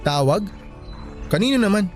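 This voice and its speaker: A man's voice: high-pitched, animated, normal speed, a little mellow, soft background music. A man playing an easygoing young best friend in a radio drama. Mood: concerned.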